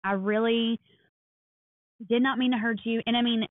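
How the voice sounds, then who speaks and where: A woman in her thirties co-hosting a podcast, telling stories in a quick, animated way. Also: on the high side, no room sound. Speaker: podcast co-host. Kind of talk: casual chat. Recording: dry, phone-like lo-fi sound.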